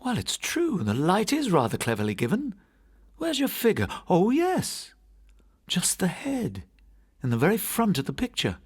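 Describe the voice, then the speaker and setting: A fussy male museum curator from a storybook ghost tale, acted by a male narrator. He talks in a raised, animated pitch, grumbling lightly like a peevish academic. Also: moderate pace, husky, heavily acted. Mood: mildly curious.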